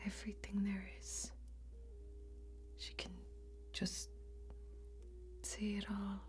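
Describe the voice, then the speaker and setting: A young woman playing a gentle, wistful warrior in an ASMR fantasy role-play, speaking in a slow, breathy, hushed and intimate way with long pauses. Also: medium pitch, monotone, natural voice. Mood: hushed, confiding. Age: young adult. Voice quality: breathy, whispery.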